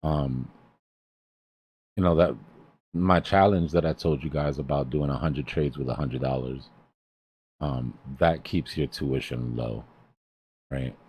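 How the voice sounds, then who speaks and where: A man with a very deep, raspy voice hosts a live stream. His tone is dark and relaxed, and he speaks bluntly and emphatically, like a mentor chatting casually. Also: takes it slowly, long pauses. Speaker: trading educator hosting a live stream.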